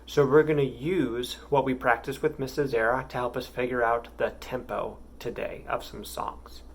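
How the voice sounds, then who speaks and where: A man's voice: medium pitch, steady tone, moderate pace, vocal fry, slight background noise. A man teaching a music lesson to young children, patient and encouraging.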